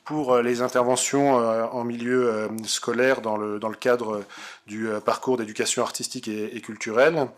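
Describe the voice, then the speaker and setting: A man giving a formal, semi-improvised council report in a halting, hesitant way. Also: medium pitch, level tone. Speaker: city councillor.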